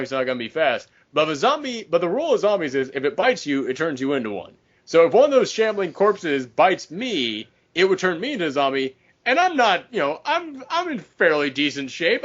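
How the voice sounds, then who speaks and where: Young male podcaster, lively intonation, casual film chat, slightly muffled lo-fi sound. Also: fairly high pitch. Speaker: podcast member. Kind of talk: casual chat about films. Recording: dull lo-fi podcast audio.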